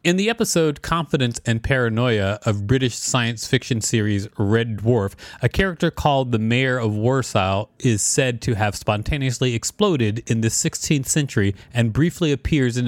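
A man's voice: medium pitch, no room sound. A man co-hosting a show, reading an encyclopedia article aloud and dropping in casual, playful asides.

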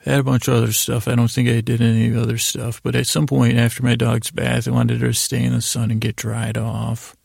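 A man's voice: medium pitch, completely flat delivery, fairly quick, creaky, hardly any pauses, a little mellow, husky, no room sound, studio quality. A middle-aged American man hosting a bedtime podcast, rambling hesitantly in soothing, lulling tones. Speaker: podcast host. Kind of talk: rambling bedtime monologue to help listeners sleep.

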